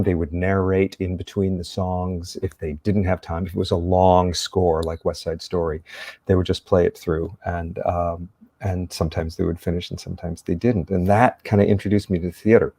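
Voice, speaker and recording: A man's voice: deep voice, a little mellow, no room sound, studio quality. An older man from San Diego, a theater actor, chatting as a guest and telling stories in a reflective, rambling way. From San Diego, United States. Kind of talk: casual interview chat, storytelling.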